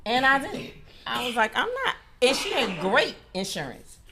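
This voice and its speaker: A woman's voice: fairly high pitch, sing-song, moderate pace, noisy background. A woman hosting a podcast, telling emphatic stories in casual chat. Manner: emphatic storyteller, laughs often. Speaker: podcast host.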